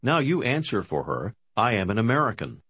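A man's voice: fairly low pitch, very animated, a bit bright, dry recording. A man giving scripted instructions as the narrator of a language course. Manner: clear, measured prompting. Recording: dry studio.

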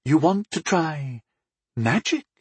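A man's voice: sits high, big pitch swings, moderate pace, husky, no room sound, pitched above the performer's own voice, heavily acted. A man voicing a skeptical, exasperated goddess in a fantasy audiobook, questioning and chiding. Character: skeptical, exasperated patron goddess, a divine woman.

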